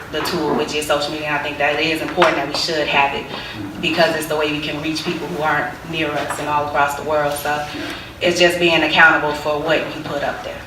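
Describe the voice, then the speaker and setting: A young woman with a very low voice speaks with confident conviction on a panel. She sounds distant, set in a roomy, echoing hall with noticeable background hiss. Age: young adult. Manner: confident, opinionated, repeats "as far as I'm concerned". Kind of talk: panel answer. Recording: distant echoey hall recording with background noise.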